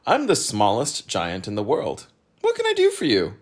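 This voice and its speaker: A man voicing a proud, absent-minded ordinary fellow who talks brightly and eagerly. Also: mid-range voice, big pitch swings, normal speed, slightly rough, pitched above the performer's own voice. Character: chirpy, absent-minded ordinary man posing as four oddities.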